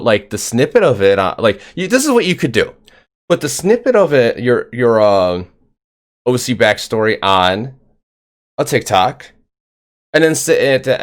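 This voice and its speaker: Rambling casual male podcast host. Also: medium pitch. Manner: rambling, filler-heavy, upbeat. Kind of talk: casual interview chat.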